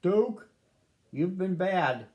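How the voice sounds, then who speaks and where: A man voicing an earnest young boy, the owner of a dog, in a storybook read-aloud, speaking high and emphatic. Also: animated, very slow, darkish tone, dull audio, exaggerated voice. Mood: scolding.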